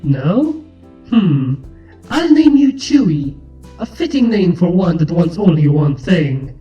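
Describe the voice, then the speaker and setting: A motherly changeling queen, played by a woman, speaks in a mellow, measured voice. She is regal and stern yet tender, a storybook creature with music playing behind her. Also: on the low side, big pitch swings, roomy sound, far from the mic, dull audio. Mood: amused, warm.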